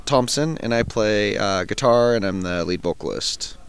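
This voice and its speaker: A man's voice: lowish voice, lively intonation, moderate pace, smooth. A young man who sings in a punk band, answering interview questions in a rambling, casual way with lots of fillers. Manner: rambling, many fillers and restarts. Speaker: lead vocalist and guitarist of a punk band.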